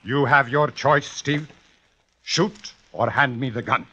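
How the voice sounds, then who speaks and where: An actor playing a proud, impassioned old Italian fencing master in a dramatic, pleading 1950s radio style. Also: male voice, medium pitch, takes it slowly, sharp tone, slightly rough, heavily acted. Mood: solemn resolve. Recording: dull vintage 1950s radio recording.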